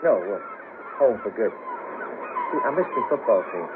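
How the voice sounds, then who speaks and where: A man's voice: fairly low pitch, huge pitch swings, moderate pace. An actor playing a hesitant, gloomy Merseyside ex band manager, down on his luck, in a 1970s radio play. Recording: hissy narrow-band cassette of 1970s radio broadcast.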